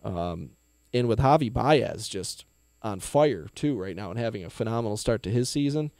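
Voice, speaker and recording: A laid-back man, slightly raspy after a night of singing. He co-hosts a casual sports podcast and talks in an easygoing way. The recording is dry and close. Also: animated, moderate pace, a little mellow, no room sound. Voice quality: baritone. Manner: laid-back, easy laughs. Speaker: podcast co-host.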